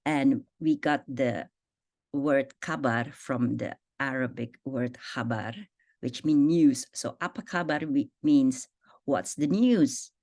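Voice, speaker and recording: A woman with an Indonesian accent teaches a spoken language lesson in a low voice. She talks slowly and deliberately, repeating phrases and their meanings. The sound is dry and close, with no room around it. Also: darkish tone, no echo. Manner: explains slowly, repeats phrases and their translations, many fillers.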